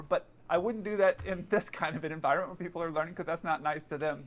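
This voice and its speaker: A man giving casual, opinionated commentary, explaining aviation procedures in plain terms. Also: high-pitched. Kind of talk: explanatory commentary on air traffic radio.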